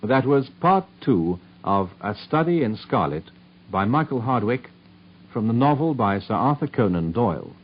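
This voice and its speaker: A man with an English accent giving formal, measured scripted announcements as a radio drama announcer. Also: medium pitch, very animated. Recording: muffled old radio recording.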